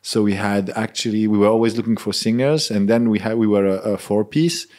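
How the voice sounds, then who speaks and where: A man's voice: fairly even intonation, brisk, darkish tone. A man in his thirties from Switzerland, a band member talking casually in an interview, earnest and often restarting his sentences. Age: 30s. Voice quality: low-pitched voice. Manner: earnest, searching for words, frequent restarts.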